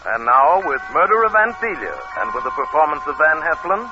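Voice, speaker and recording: A man's voice: on the high side, very animated, brisk, sharp tone. A man announcing a mid-century radio thriller in a dramatic style. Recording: muffled old radio recording. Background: dramatic music.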